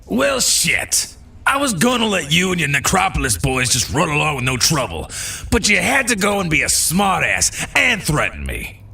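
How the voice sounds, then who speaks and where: A brash vampire brute for an audio drama. His voice is bright, forceful and gravelly, swooping widely as he taunts and swears. He sounds a little off the mic. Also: a little high, huge pitch swings, moderate pace, far from the mic, soft background music, full character voice. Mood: menacing. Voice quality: raspy, gravelly. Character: brash foul-mouthed brutish male vampire thug, aggressive. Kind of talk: audio drama character acting.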